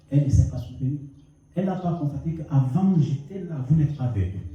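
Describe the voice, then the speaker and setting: A male pastor with a cold, preaching a sermon and telling a story with lively rising and falling emphasis. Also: fairly high pitch. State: has a cold. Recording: echoey hall, mic at a distance.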